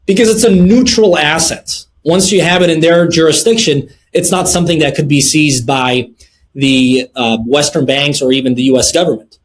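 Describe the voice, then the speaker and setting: Middle-aged man, guest in an interview, measured delivery, frequent fillers, voice sits a bit high. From Lebanon. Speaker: guest, precious metals dealer. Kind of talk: interview answers.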